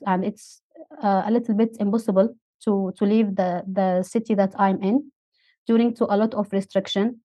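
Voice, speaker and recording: Syrian woman in her thirties, halting English. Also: mid-range voice, no room sound, muffled recording. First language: Arabic. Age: 30s. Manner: hesitant, many uh fillers, non-native phrasing. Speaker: guest. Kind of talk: interview storytelling.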